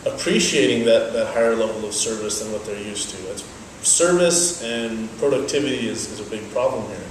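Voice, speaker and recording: A young man whose first language is Dutch, giving measured, explanatory answers in an interview. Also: fairly low pitch, a little hiss or hum. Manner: measured, explanatory, thoughtful pauses. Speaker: interviewee, business owner. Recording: very echoey, from a distance, faint ambience.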